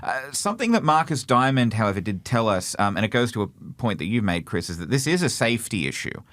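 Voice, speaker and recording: A man co-hosting an aviation podcast, calmly relaying what sources have said. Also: medium pitch, dry recording, clean studio sound. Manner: relays reported quotes in measured, explanatory tone. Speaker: podcast host. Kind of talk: casual news discussion.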